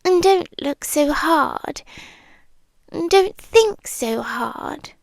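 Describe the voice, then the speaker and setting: A British woman voicing a possessive vampire girlfriend in an ASMR roleplay, gentle and coaxing with quiet menace, often slipping into whispers close to the microphone. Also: sits high, expressive, gravelly, dry recording, full character voice. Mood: soothing, controlling. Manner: soft, deliberate, lingering pauses, slipping into whispers. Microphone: close microphone, dry.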